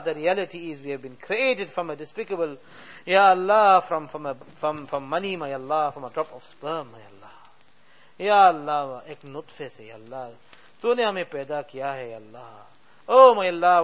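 A man leading an emotional supplication as imam, pleading with rising cries that fall to soft endings. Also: on the high side. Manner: mixes English with Urdu and Arabic words. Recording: dull lo-fi recording.

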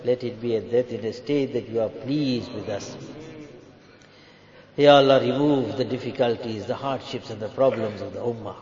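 A man's medium-pitched voice rings through a reverberant hall in a dull recording. He leads a fervent Islamic prayer as a cleric, pleading and emotional, stretching out his invocations. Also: normal speed, crowd noise. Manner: switches between English and Urdu. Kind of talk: fervent public prayer in a religious gathering. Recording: echoey hall with long reverb, dull sound.

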